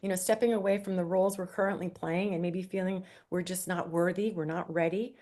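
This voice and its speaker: A woman's voice: lowish voice, fairly even intonation, fairly quick, darkish tone. A woman moderating a panel discussion, introducing speakers in an upbeat way.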